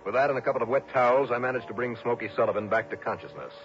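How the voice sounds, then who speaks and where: An actor in a 1960s radio drama playing a tough but concerned insurance investigator, speaking in a clipped, direct way. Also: male voice, medium pitch, rapid-fire, natural voice. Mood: matter-of-fact. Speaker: lead and narrator of detective serial. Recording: dull, noisy old radio recording.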